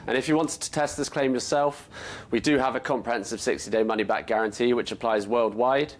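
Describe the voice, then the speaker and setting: A man presenting a scripted product explainer in a measured, explanatory way. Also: mid-range voice. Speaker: company presenter.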